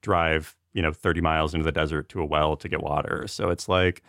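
Deep-voiced American man, rambling and earnest. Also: flat delivery, brisk, a bit bright, no echo, studio quality. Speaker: podcast panelist, TV writer. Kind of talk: casual conversation. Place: remote video chat recording.

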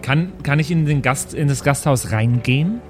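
A man plays a tabletop role-playing game in a medium-pitched voice. He talks casually in character, reasoning with naive earnestness. Faint music sits behind him. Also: lively intonation, normal speed.

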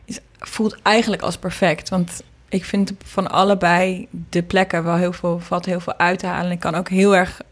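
Low, even-pitched woman chatting. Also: normal speed, few pauses. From Netherlands. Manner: rambling, loose, informal. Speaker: singer and producer being interviewed. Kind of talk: casual interview chat.

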